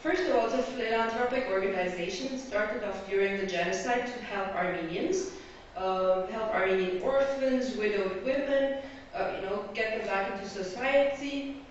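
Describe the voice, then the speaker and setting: A woman's voice: mid-range voice, moderate pace, slight background noise. An Egyptian woman giving an academic presentation as a graduate student, explaining her points and checking in with her listeners. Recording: echoey hall, distant lo-fi mic.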